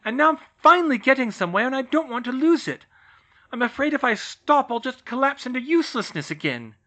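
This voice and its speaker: Brief: an overworked young witch, loud, high and strained, swinging wildly in pitch. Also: sing-song, a little fast. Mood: frantic. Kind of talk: audiobook reading of fan fiction. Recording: slightly muffled recording.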